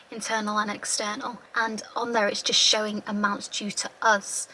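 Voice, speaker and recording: A woman tutor with a slightly croaky voice, patiently explaining accounting terms with everyday examples. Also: mid-range voice, fairly bright tone, a little hiss or hum, rough recording. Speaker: finance tutor.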